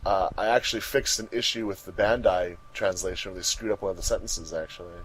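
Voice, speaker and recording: A man's voice: low voice, steady tone, fast talker, a bit bright, slight background noise, poor audio. A man talking casually on a fandub crew's commentary track.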